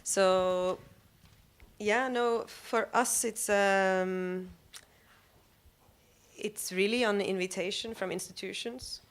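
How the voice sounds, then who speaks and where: A woman answering as a panelist, thoughtful and halting, with a dry self-deprecating turn, in a cafe. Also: medium pitch, steady tone. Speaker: panelist, member of a collective. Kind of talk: live panel discussion. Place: cafe of an arts venue.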